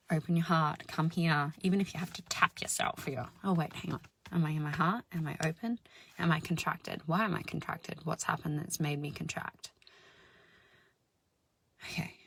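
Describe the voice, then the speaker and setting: A woman with a deep, husky voice giving a slow, soothing spiritual self-help talk, sometimes dropping into soft whispers. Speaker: spiritual healer and channel host.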